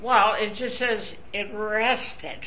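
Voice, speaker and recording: Slow-spoken woman in a roomy class. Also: medium pitch, fairly even intonation, pushed and bright, lo-fi sound. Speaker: class participant. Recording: roomy with faint room noise.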